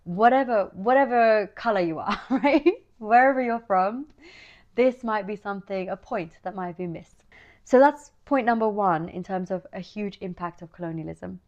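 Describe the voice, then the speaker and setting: A woman's voice: mid-range voice, moderate pace, darkish tone. A young woman raised in the Netherlands and the UK, earnestly talking to camera in an explanatory commentary and often checking in with "right?". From Sri Lankan family, raised in the Netherlands and UK. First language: Dutch. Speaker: video essay host. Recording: slightly dull lo-fi audio.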